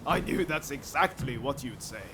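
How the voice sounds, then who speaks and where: A man's voice: mid-range voice, animated, normal speed, gravelly, background noise. An actor playing an eager, friendly swordsman in a video game, informal and encouraging to his comrade. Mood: excited. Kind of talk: video game cutscene acting.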